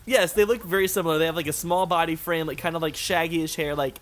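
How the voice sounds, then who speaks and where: A man with a slightly high voice, chatting as a co-host over a video game. He rambles informally and drops "like" into his sentences all the time. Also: fairly even intonation, fast, a bit bright. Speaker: gaming video creator, co-host. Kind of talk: casual chat over gameplay.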